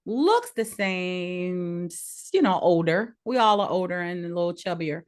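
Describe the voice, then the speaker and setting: Low-voiced woman chatting frankly. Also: animated, unhurried. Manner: frank, opinionated, laughs easily. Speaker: podcast co-host. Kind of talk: casual chat.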